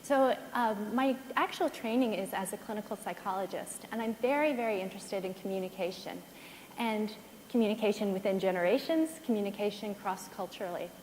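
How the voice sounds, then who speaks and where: A woman's voice: mid-range voice, a little fast, a little mellow, slight background noise. American-accented woman in a reverberant hall. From Ireland, raised in the United States. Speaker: panelist. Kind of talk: panel talk. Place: conference hall.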